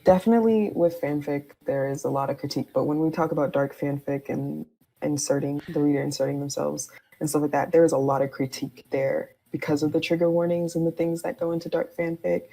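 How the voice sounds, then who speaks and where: A woman with a low, husky voice and plenty of vocal fry talks casually on a podcast. She explains her views candidly, in loose, informal phrasing. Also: steady tone, brisk, mellow, rough recording.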